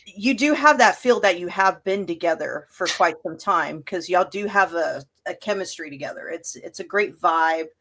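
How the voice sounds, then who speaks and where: A woman's voice: on the low side, moderate pace, hardly any pauses, a bit bright. A woman interviewer talking casually and eagerly with a band, saying y'all. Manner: enthusiastic, informal, says y'all.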